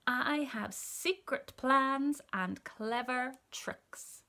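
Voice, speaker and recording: A woman voicing an enormous male crocodile in a children's story, sly and menacing, drawing out words with greedy relish and breathy hisses. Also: sits high, very slow, bright, forceful tone, voice pushed up, full character voice.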